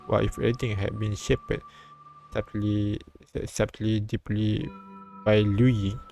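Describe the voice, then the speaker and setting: A man live-streaming a visual novel, reading it aloud haltingly and often repeating words. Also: on the low side, level tone, vocal fry, slight background noise, low-quality recording. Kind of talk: read-aloud narration with casual asides. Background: soft game music.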